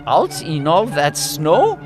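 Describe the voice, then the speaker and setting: A man's voice: a little high, huge pitch swings, slow, over music, heavily acted. A man playing a droll, gossipy member of an opera company, wry and chatty in a farce audio drama. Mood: wry amusement.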